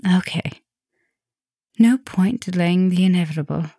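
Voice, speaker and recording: A woman playing an affectionate, softly dominant vampire professor in an intimate ASMR roleplay, speaking softly and teasingly, often dropping into a whisper. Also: on the low side, a little slow, dry recording, studio quality. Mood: gentle, nervous.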